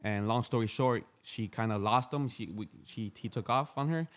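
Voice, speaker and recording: A man's voice: mid-range voice, normal speed. A man in his thirties, a podcast guest, talking candidly in a casual chat, rambling with many restarts and slang. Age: 30s. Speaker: podcast guest, street activist.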